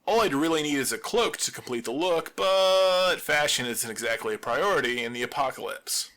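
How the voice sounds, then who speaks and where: Wry, animated man with bright voice. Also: a little high, moderate pace. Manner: wry, deadpan humor. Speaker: radio host in an audio drama. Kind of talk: scripted audio drama monologue.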